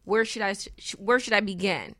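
A woman's voice: mid-range voice, expressive, a little fast, pushed and bright, no echo. Woman expert explaining, very dry sound. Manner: restarts and self-corrects, acts out examples. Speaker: real estate professional, guest expert. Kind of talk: conversational explanation on talk radio.

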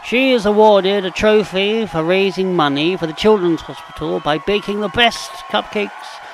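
A woman's voice: medium pitch, moderate pace, slight background noise, lightly acted, rough recording. A woman voicing a male zebra town mayor in a children's story, speaking in a ceremonial, declamatory way.

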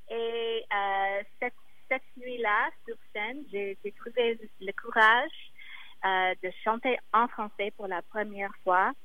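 A woman speaking slowly and haltingly in her second language, her first tongue being English. She pauses often to search for words, and the sound is dull and lo-fi, as if over a phone line. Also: mid-range voice. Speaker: singer.

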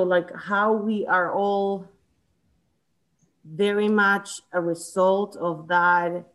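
A woman from Chile talks through her thoughts on a book in a relaxed discussion. Her voice sits a little low. She hesitates often, restarting phrases and filling gaps with "like" and "you know.". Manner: hesitant, many restarts and fillers. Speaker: book club member.

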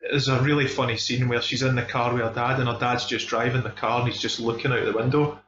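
A man's voice: medium pitch, level tone, fast, fairly bright tone, slight background noise. A man with a Scottish accent chatting casually and enthusiastically as he reviews films, with plenty of emphasis. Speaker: film discussion podcast host. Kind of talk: casual film review chat. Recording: dull, echoey room recording.

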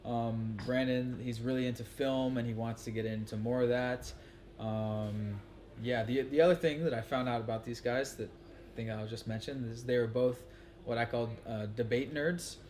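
A man's voice: mid-range voice, steady tone, normal speed, darkish tone. A man hosting a travel vlog, talking in a loose, rambling, chatty way. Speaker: vlogger host. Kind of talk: casual chat to camera. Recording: noisy ambient background.

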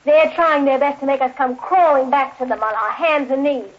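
An actress playing an exasperated young niece in an old radio sitcom, scolding and sarcastic. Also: female voice, sits high, moderate pace, lightly acted. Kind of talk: 1930s-40s radio sitcom acting. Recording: dull old radio recording.

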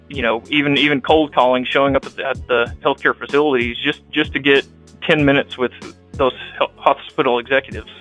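A young man with a Texan accent, a clinic director telling his career story in a casual interview, halting with frequent restarts. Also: medium pitch, sharp tone, poor audio. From Texas. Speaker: guest, rural health clinic director.

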